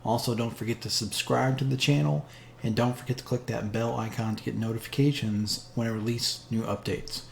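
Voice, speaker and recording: A man hosting a casual market update over a chart screen share, talking straight to viewers with frequent fillers, his voice slightly hoarse. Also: mid-range voice, steady tone, brisk, slight background noise. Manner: chatty, direct address with frequent fillers. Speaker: crypto market analyst, channel host.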